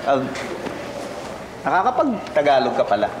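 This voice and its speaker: A man's voice: medium pitch, wildly swooping pitch, moderate pace, lo-fi sound, noisy background. A laid-back rich businessman. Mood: easygoing. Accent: Filipino. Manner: laid-back, hesitant, chuckling. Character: easygoing rich male business owner. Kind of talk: sitcom acting.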